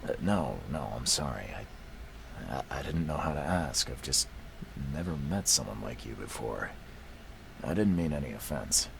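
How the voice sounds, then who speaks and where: A gravelly, very low-voiced detective, world-weary and blunt. He talks in a dry, measured way, as in a video game, with clear noise behind him. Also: lively intonation, heavily acted. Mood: apologetic. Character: world-weary male private investigator, middle-aged, blunt. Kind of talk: video game dialogue acting.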